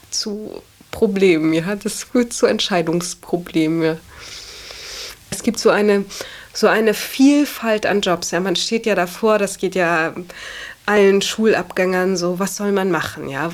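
A woman in her twenties talking casually and reflectively about herself, often tagging phrases with a questioning "ja". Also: mid-range voice. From Germany. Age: 20s. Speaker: interviewee. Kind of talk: reflective casual personal talk.

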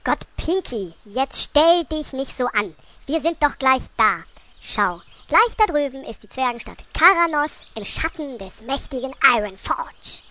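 A woman's voice: high-pitched, very animated, measured pace, pushed and bright, exaggerated voice. A woman playing a bossy, scheming little gnome queen in a comic audio play, sassy and exasperated.

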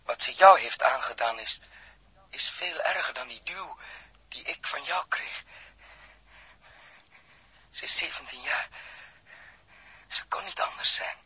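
An actor playing a gentle, resigned astronaut who is weak and gasping for air, speaking haltingly in a 1960s radio drama. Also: male voice, mid-range voice, lively intonation, exaggerated voice. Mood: wistful. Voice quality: breathy, husky. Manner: halting, repeats words. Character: dying young male astronaut, gentle and resigned. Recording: old 1960s radio recording.

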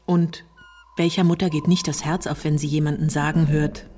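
A woman narrating a scripted radio drama in a measured, literary way. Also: sits low, little pitch movement, dark tone.

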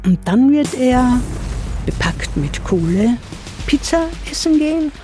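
An actress narrating a radio drama about a robbery in scripted, dramatic storytelling. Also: female voice, sits high, sing-song, takes it slowly, mellow, soft background music, heavily acted. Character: female narrator recounting a couple's robbery.

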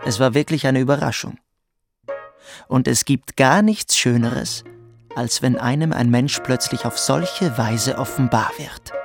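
An actor playing a smug, quietly cruel young boarding-school pupil, telling his story theatrically in a radio play. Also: medium pitch, measured pace, vocal fry, faint music behind. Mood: smug relish. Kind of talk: dramatic first-person storytelling in a radio play.

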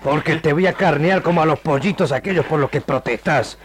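A man's voice: fairly high pitch, fairly quick, lightly acted. A man playing a cocky, menacing gaucho knife-fighter with an Argentine accent in a melodramatic radio serial, on a noisy old tape. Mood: threatening. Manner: rural gaucho slang.

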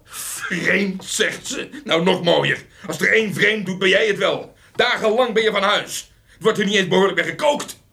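An actor playing an exasperated, jealous husband, scolding sarcastically in a radio drama. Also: male voice, high voice, moderate pace, gravelly, pushed and bright, full character voice.

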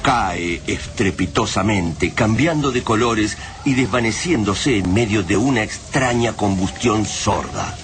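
A man narrating a science-fiction war tale for a radio drama in a solemn, measured, dramatic way. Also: lowish voice, noisy background, lightly acted.

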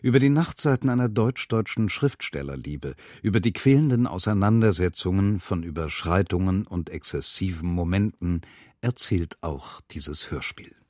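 Male announcer, deep register, very dry studio sound, scripted programme introductions. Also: lively intonation, normal speed, darkish tone, no echo. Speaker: radio announcer introducing an audio drama. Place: close, dry broadcast studio.

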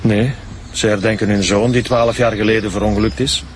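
A man's voice: fairly low pitch, fairly even intonation, brisk, slight background noise, natural voice. A man playing a busy, somewhat reluctant church sexton in a radio drama, with a Flemish accent. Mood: reminiscing. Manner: curt, reluctant, proper.

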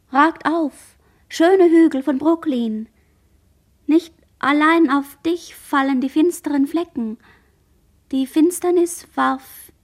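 An actress playing a traumatized girl in a radio play, reciting fragmented memories like an incantation. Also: high-pitched. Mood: incantatory. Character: traumatized girl, barely conscious witness, dreamily remembering. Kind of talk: literary radio play monologue.

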